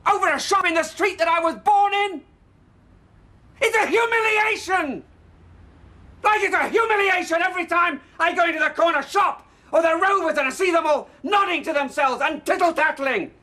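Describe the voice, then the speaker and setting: An actor playing a bitter, self-pitying middle-aged stepfather in a TV soap drama, who swings between shouting and pleading. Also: high-pitched, sing-song, a little fast, full character voice. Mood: furious. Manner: flares into shouting, then pleads.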